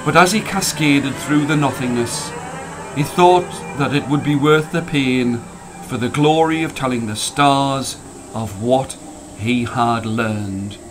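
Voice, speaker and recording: A man reading a story as a storyteller, expressive and dramatic, drawing out the ends of phrases. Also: mid-range voice, takes it slowly, noisy background. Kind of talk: expressive scripted story narration.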